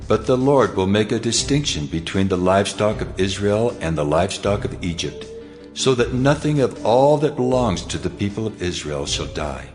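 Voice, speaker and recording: A man playing God in a dramatized scripture audio drama, solemn and majestic, speaking in deliberate declamation. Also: lowish voice, natural voice. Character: God of Israel, solemn, commanding, majestic divine voice. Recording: reverberant hall, music underneath.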